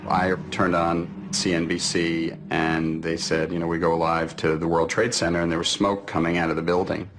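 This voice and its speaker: A man giving a halting personal recollection in an interview, repeating words as he goes. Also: low-pitched, flat delivery. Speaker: interviewee recalling a phone call. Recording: faint noise, archived interview clip.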